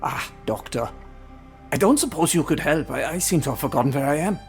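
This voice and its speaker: A man playing a befuddled, forgetful former professor in a video game, politely hesitant, with a husky, creaky voice. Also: fairly high pitch, animated, a little fast, soft background music. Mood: polite, lost. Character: befuddled, amnesiac asylum patient, a former professor.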